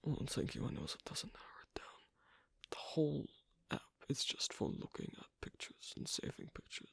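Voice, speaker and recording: Breathy, whispering asocial werewolf, acted out. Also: medium pitch, wildly swooping pitch, dry recording, heavily acted. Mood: reserved, gently advising. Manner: halting, soft-spoken, hesitant. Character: asocial werewolf on a first date, guarded, shyly affectionate. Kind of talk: ASMR roleplay, one-sided intimate conversation.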